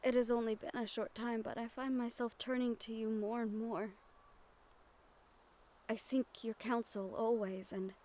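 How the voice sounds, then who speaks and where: A woman voicing a gentle, wistful young noblewoman in a romantic fantasy audio roleplay, speaking softly with formal, measured diction and tender pauses. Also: a little high, lightly acted. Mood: tender, remorseful.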